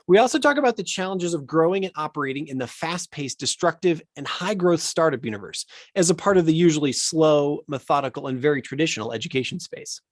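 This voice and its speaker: A man's voice: a little high, no echo, studio quality. A man hosting an education podcast, reading a scripted intro and then chatting with enthusiasm and frequent restarts. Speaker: podcast host.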